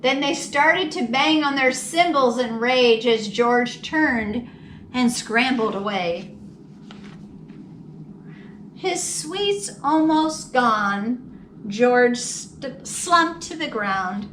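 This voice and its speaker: Bright, unhurried woman reading a children's story. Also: a little high. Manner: expressive, dramatic storytelling for young children. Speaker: library storytime reader. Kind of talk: children's picture book read-aloud. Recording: roomy, distant mic with faint noise.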